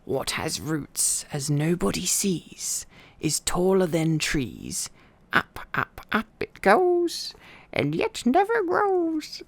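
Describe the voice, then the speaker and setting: Hungry cave creature, raspy hissing whisper, wildly swooping pitch, wheedling, storybook villain. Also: male voice, huge pitch swings, dry recording, full character voice. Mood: sly hissing. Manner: broken grammar, drawn-out muttering to itself. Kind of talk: storybook character voice in ASMR reading.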